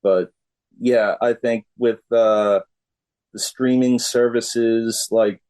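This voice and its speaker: A middle-aged man answering as a musician guest in a casual interview, laid-back, halting and plain-spoken. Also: medium pitch, fairly even intonation, darkish tone.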